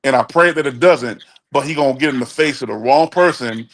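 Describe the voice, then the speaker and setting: A man's voice: mid-range voice, a little fast, a bit bright. A man in his forties from Chicago, speaking bluntly and emphatically as a guest on a call-in talk show, often restarting his sentences. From Chicago, USA. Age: 40s. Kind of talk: casual opinion chat.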